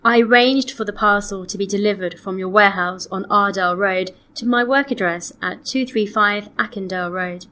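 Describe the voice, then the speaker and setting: A woman acting as a polite female customer with a mid-range voice. She enunciates each detail in an orderly, measured manner, as in a scripted listening exercise. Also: medium pitch, sharp tone, close to an everyday voice. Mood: polite, matter-of-fact. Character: polite woman customer phoning to report missing, damaged antiques. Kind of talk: scripted listening-test dialogue.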